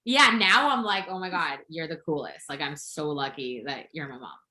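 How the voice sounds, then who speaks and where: A woman's voice: deep voice, moderate pace, sharp tone, reverberant, a little hiss or hum. A woman from Venezuela, a podcast guest and intuitive coach, telling her story in an open, upbeat way. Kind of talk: casual interview chat.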